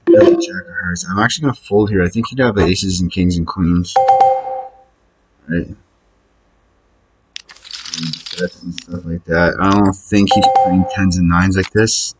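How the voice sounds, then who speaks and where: A man with a low-pitched voice talks slowly as he thinks aloud over an online card game. His delivery is casual and hesitant, muttered half to himself, and he often questions his own choices. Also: steady tone, very noisy. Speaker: online poker player recording own session.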